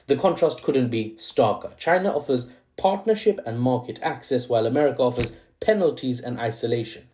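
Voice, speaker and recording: A man's voice: medium pitch, lively intonation, brisk, a little mellow. A man with a mixed British accent giving chatty, opinionated political commentary with rhetorical asides and slang. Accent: British, with a mixed Indian-tinged lilt. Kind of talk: casual commentary video.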